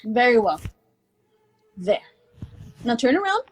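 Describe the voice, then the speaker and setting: An actress playing a genteel, practical aunt in a radio drama, giving brisk, motherly commands. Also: on the high side, sing-song, exaggerated voice. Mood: fond, bustling. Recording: clear background noise with clicks.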